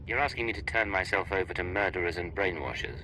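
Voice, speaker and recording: A man's voice: low voice, quick pace, sharp tone, a little hiss or hum, rough recording. A man playing a cool, guarded imperial agent in a video game, speaking in a clipped, dry, composed way. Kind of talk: video game cutscene acting. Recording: music behind the voice.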